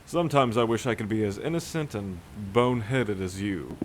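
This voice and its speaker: A man's voice: fairly low pitch, lively intonation, normal speed, a little hiss or hum, close to an everyday voice, low-quality recording. A man playing a dry, learned, skeptical sailor who teases his shipmates in a nautical audio drama. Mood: dry, teasing. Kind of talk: cinematic audio roleplay, nautical fantasy.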